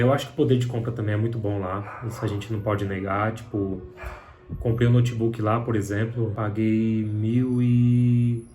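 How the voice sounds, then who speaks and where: A man's voice: on the low side, a little mellow. A young man from Brazil with a Brazilian Portuguese accent, talking casually to camera in a candid, conversational way with lots of filler tags. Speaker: vlogger.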